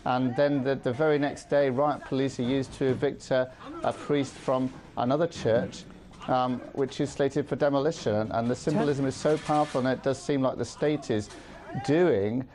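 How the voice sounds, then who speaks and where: A middle-aged man speaking as a guest in a live news interview, measured and earnest, with frequent ums. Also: mid-range voice, steady tone, a little mellow, slight background noise. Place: studio with city view.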